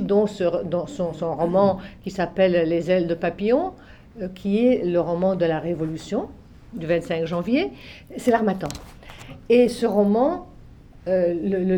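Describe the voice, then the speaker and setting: An older woman from Egypt, raised on Arabic and French alike, talks about her life as a translator. Her voice is dark and mellow, and she muses, circles back and repeats herself as the memories come. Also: mid-range voice. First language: Arabic and French, both native. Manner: reflective, many restarts and soft trailing phrases. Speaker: literary translator. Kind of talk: relaxed spoken recollection.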